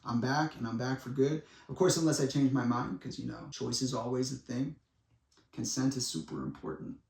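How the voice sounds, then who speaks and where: A man in his twenties giving a monologue to viewers in a dramatic, deliberate way, with pauses and blunt asides. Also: medium pitch, vocal fry, a little mellow. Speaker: speaker making a personal public statement. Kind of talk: semi-prepared monologue addressed to viewers. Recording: echoey room, distant microphone.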